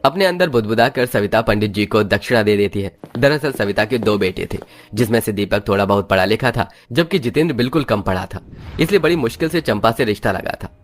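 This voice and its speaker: A man's voice: lowish voice, lively intonation, a little fast. A man reading scripted narration for a moral story as its narrator.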